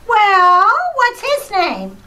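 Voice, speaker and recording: A woman's voice: extremely high pitch, huge pitch swings, very slow, bright, forceful tone, heavily acted. A woman acting as a cheeky, stubborn little girl with whiny, childish speech in an old-time radio comedy.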